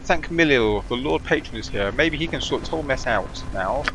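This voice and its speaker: A man's voice: mid-range voice, animated, fairly quick, noisy background, natural voice. A man voicing a flustered theatre manager from a game, dramatic and worried, repeating himself. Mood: worried, lamenting. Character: flustered theatre manager lamenting a ruined play.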